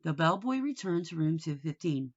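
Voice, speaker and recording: A woman reading a children's book aloud in an expressive storytelling manner. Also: low voice, normal speed, dull audio. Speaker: storybook reader.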